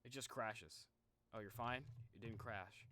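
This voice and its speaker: A man's voice: lowish voice, steady tone, a little slow, bright, forceful tone, slight background noise. A man doing casual live game commentary as a streamer, talking in slangy, reactive exclamations.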